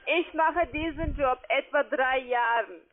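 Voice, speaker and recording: High-voiced foreign woman on a phone line. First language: not German. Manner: halting, ungrammatical German. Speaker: call-centre trading account agent. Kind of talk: phone sales call. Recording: narrow tinny phone line.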